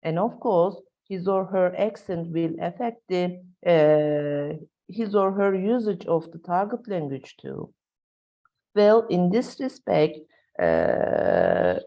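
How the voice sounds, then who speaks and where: Turkish-accented woman lecturer, lowish mellow voice, halting delivery full of fillers, dull webinar audio. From Turkey. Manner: halting, with frequent fillers and tag questions. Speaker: university lecturer.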